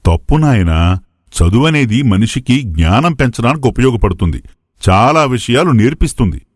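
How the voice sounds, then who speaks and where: A man's voice: mid-range voice, animated, normal speed, dry recording, studio quality, natural voice. A man playing a wise, patient elderly adviser in a moral story, speaking in a didactic, reassuring way. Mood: patient advising.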